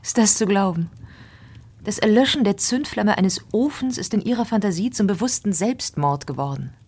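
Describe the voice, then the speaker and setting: Husky-voiced, troubled gentleman's wife. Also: mid-range voice, expressive, normal speed. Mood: agitated, bitter. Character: distressed, candid young wife of a gentleman. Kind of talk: German radio drama acting.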